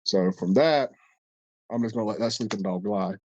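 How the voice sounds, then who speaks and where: A man's voice: fairly low pitch, moderate pace, highs cut off, a little hiss or hum. A man co-hosting a casual podcast, stuffed up and congested, telling a long story in a rambling, profane way with many restarts. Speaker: podcast co-host.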